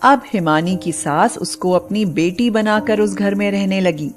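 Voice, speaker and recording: A woman's voice: medium pitch, a little slow, faint music behind. A woman narrating a scripted moral story in a dramatic storytelling style.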